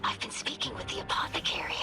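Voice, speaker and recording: A woman voicing an earnest, gentle young alien scholar in a video game, breathy and polite. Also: fast, close to an everyday voice. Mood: amused, grateful. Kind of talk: video game character acting. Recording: voice over game music.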